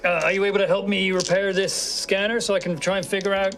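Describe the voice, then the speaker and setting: A man improvising casually as a player in a tabletop roleplaying game, speaking in a halting way. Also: sits high, level tone, fairly bright tone. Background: music.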